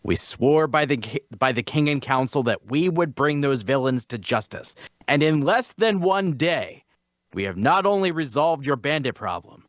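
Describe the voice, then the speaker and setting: A man's voice: mid-range voice, animated, normal speed, no room sound, studio quality, natural voice. A man playing a boastful, grandiose fantasy adventurer who declaims formally, as in a theatrical table read. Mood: triumphant, grandiose.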